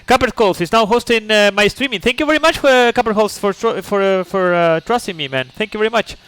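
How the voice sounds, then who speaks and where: A man's voice: high voice, brisk, fairly bright tone, clear, resonant tone, no room sound. A man from Chile, speaking English, streams a flight sim live. He explains each step patiently, checks in with "okay?", and chats with viewers. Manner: chatty asides to viewers.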